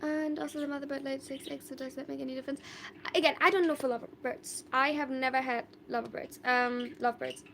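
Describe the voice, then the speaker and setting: A woman's voice: high voice, flat delivery, a little fast, gravelly, a bit bright, a little hiss or hum. A young woman from Germany chatting casually on a live stream, answering viewer questions in a thoughtful, rambling way with many fillers and restarts. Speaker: livestreamer.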